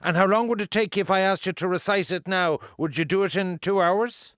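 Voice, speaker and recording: A man's voice: high-pitched, brisk, a bit bright, clear, resonant tone, no room sound, clean studio sound. A man with an Irish accent hosting a conversational radio interview, probing in a chatty way with questions piled up.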